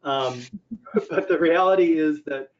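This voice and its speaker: Older man, slightly high voice, conversational presenter, explanatory, question-and-answer phrasing, dull lo-fi audio. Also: measured pace. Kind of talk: conversational business technology presentation.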